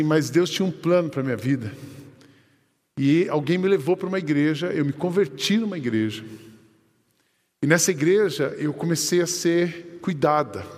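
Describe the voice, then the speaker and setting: A Brazilian man preaching a sermon as pastor, telling a story and quoting the people in it. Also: fairly high pitch. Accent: Brazilian Portuguese. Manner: lively storytelling, quoting others in conversation. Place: church hall. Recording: long church hall reverb.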